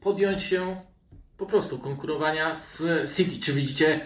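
A man's voice: a little high, moderate pace. A man hosting a casual football discussion and steering the conversation. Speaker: podcast host moderating the discussion. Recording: roomy echo, distant from mic.

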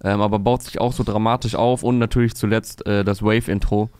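Low, smooth-voiced man reviewing rap tracks. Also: fairly even intonation, fairly quick, a little mellow, no room sound. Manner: fluent, analytical, many "äh" fillers. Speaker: podcast host reviewing rap releases. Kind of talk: casual music review chat.

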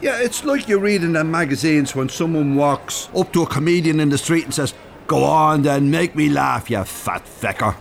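A man's voice: fairly high pitch, lively intonation, normal speed, creaky. An actor playing a chatty, nosy, thin-skinned older working-class Dublin man in a radio drama, rambling and familiar. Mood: musing, frank. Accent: Dublin Irish. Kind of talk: naturalistic radio drama acting. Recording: urban ambience, faint background noise. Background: shopping centre ambience.

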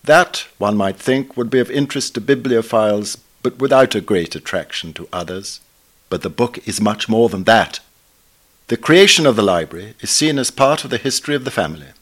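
Man, low voice. Formal, measured, scholarly delivery of a written book review. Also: expressive. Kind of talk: scripted book review read aloud.

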